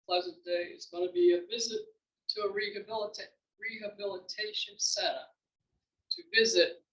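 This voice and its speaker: A woman playwright giving an informal introductory speech about her work. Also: mid-range voice. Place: library room before an audience. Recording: echoey room, distant mic.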